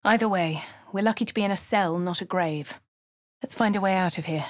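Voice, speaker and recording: A woman's voice: mid-range voice, brisk, dry recording, clean studio sound, close to an everyday voice. A woman voicing a posh English adventurer. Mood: wry. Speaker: voice of a player character. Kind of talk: game voice lines, first-person narration.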